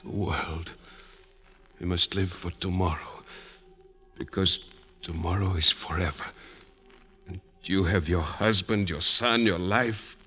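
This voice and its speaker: An actor in a 1940s radio drama playing a frail, noble, war-wounded older man who hides who he is, speaking solemnly and haltingly. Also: low voice, steady tone, bright, forceful tone, husky, exaggerated voice. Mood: solemn resignation. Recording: vintage radio recording with music behind.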